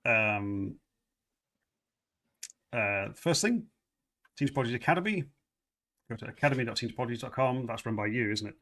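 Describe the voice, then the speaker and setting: Bright-toned man hosting a livestream. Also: mid-range voice, expressive, moderate pace, pauses often. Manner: loose, repeats words, many fillers. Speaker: livestream host. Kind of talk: casual livestream chat.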